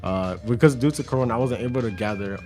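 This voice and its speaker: A man's voice: medium pitch, fast talker, music in the background. A young man guesting on a radio show, talking casually about his clothing brand with lots of fillers and restarts. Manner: laid-back, rambling with many fillers. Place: radio studio.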